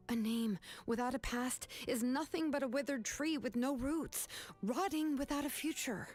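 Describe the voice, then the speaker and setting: Woman voicing a firm, earnest young heroine in a fantasy game, measured delivery, high voice, faint music under it. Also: darkish tone, close to an everyday voice. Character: calm, firm young woman confronting a grieving aunt.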